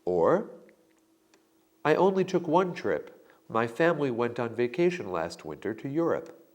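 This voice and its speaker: A man giving slow, deliberate sample answers in a scripted lesson. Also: mid-range voice, sing-song, a little mellow. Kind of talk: scripted instructional narration.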